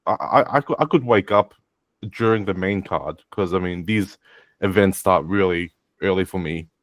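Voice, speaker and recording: A man's voice: sits low, moderate pace, no room sound. A man co-hosting a sports podcast in a blunt, crude, sarcastic ranting style. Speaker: podcast co-host. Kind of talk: casual ranting chat.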